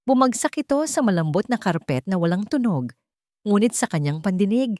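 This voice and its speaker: A woman's voice: medium pitch, lively intonation, normal speed, darkish tone, no room sound, studio quality. A woman narrator reading a scripted dramatic story.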